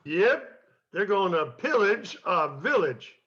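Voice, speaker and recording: A man's voice: sits high, very animated, takes it slowly, a bit bright, lo-fi sound, heavily acted. An older man playing an easygoing small-town sheriff in an amateur radio western comedy. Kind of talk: amateur old-time radio comedy acting.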